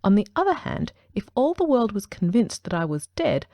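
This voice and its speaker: A woman voicing a calm, precise middle-aged male detective who tells his tale in a measured, composed way in a dramatic audiobook reading. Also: mid-range voice, no room sound. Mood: recounting. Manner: measured, dry, composed storytelling.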